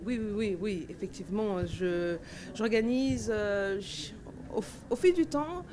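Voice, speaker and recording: A woman's voice: medium pitch, unhurried. A woman in her forties from Cameroon, answering interview questions at length with many fillers and restarts. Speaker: dancer and festival organizer. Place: outdoor festival grounds. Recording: festival ambience noise behind.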